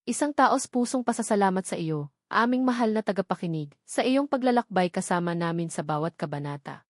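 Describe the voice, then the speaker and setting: A woman narrating a scripted romantic drama in a measured, sentimental storytelling manner. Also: medium pitch, animated, no echo, clean studio sound.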